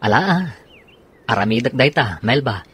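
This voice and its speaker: A man acting a husky-voiced Ilocano hired helper. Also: mid-range voice, lively intonation, moderate pace, a bit bright. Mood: agreeable, low-key. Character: adult man hired as a helper to follow someone. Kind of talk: radio drama acting.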